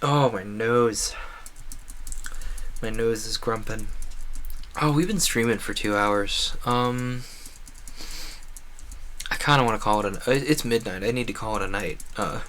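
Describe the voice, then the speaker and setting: A man with a fairly low voice talks casually to viewers during a gaming livestream. He is talkative and rambles through his thoughts at length. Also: measured pace, long pauses, a bit bright, slight background noise. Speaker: video game livestreamer. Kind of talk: casual rambling livestream chat over gameplay.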